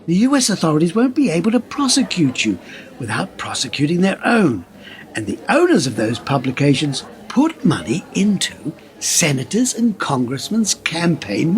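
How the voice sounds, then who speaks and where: An actor in a radio play playing an impassioned whistleblower, speaking in an emphatic, conspiratorial way. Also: male voice, on the high side, exaggerated voice. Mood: urgent, coaxing. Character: impassioned male whistleblower pressing a wary journalist.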